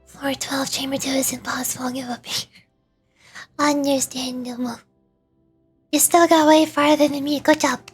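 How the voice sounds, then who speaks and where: High-pitched woman chatting on a game stream. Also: flat delivery, moderate pace, pauses often, soft background music. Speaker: livestreamer, vtuber. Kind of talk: casual gaming livestream chat.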